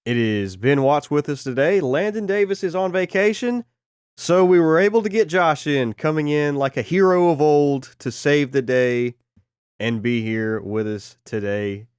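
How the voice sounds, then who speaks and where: Hoarse, joking male podcast host. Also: a little high, animated, a little slow, darkish tone, no echo, studio quality. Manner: joking, self-deprecating. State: hoarse from a cold. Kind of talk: casual chat.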